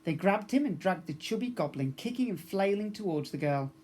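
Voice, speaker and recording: A man's voice: sits high, lively intonation, brisk, darkish tone, slight background noise. Man reading a children's storybook. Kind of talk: expressive storybook narration.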